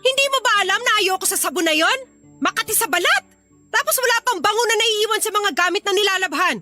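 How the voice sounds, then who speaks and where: Casting: nagging older widowed mother-in-law for radio drama, very high, sharp voice, domineering. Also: animated, quick pace, soft background music, heavily acted. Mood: irritated, scolding. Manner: scolding, complaining. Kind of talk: Filipino radio drama acting.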